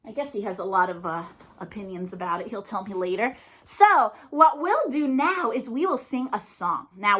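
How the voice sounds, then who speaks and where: A woman's voice: on the high side, sharp tone. A woman hosting an interactive storytime for young children, encouraging and playful, often asking her listeners questions. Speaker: children's storytime host and librarian. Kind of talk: interactive read-aloud and singalong for young children.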